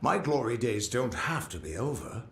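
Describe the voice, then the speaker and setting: Lively, hearty veteran adventurer. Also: male voice, medium pitch, moderate pace, echoey room, a little hiss or hum. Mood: earnest, resolved. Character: boastful, loyal veteran adventurer seeking renewed glory. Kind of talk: fantasy game dialogue acting.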